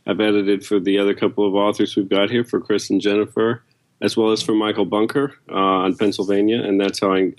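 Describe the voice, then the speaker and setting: A man speaking casually as an editor guest in an interview. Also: fairly low pitch.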